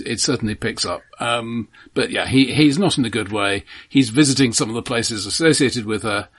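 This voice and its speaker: Husky man explaining things about films. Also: mid-range voice, a bit bright. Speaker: film podcast co-host. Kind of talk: casual film discussion.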